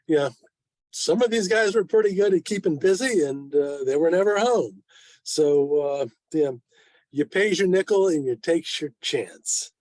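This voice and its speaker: A man's voice: a little high, animated, measured pace, darkish tone, no room sound, rough recording. An older man in his seventies presenting informally to a club on a video call, with a wry, self-deprecating manner. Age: 70s. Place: online video meeting.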